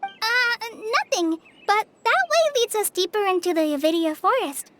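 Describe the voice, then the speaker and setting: A shy, earnest young trainee ranger who stammers and hesitates. Her voice is very high and lively in pitch. The delivery is gentle video game dialogue. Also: normal speed, very noisy. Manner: timid, stammering.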